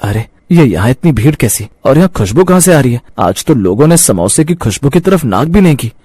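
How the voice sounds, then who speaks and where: Guy voicing a caring husband who sells samosas, earnest cartoon story acting, normal male pitch, very dry recording. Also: very animated, brisk, a little mellow, husky, dry recording. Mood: puzzled. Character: hardworking, caring samosa vendor, a devoted husband. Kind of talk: acted dialogue in a Hindi moral cartoon story.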